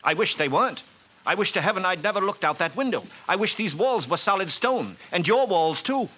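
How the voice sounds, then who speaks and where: A haunted middle-aged artist who cannot sleep, in a bright, ringing voice. He speaks intensely and pleadingly, with the theatrical flair of 1940s radio drama. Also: high-pitched, lively intonation, brisk, heavily acted, low-quality recording.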